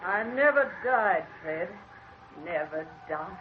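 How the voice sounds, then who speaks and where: An actress voicing a vengeful female ghost who speaks slowly and eerily in a 1940s radio drama. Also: mid-range voice, lively intonation, phone-quality sound, a little hiss or hum. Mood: menacing. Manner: slow, drawn-out, eerie taunting.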